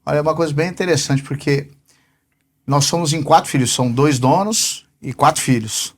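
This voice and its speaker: A man in his forties talks through his answers as a podcast guest, taking his time and leaning on small filler pauses. His voice sits a little higher than most men's. The delivery is relaxed and conversational. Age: 40s. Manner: unhurried, often pausing on fillers. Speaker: podcast guest, company sales director. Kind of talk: casual interview answers.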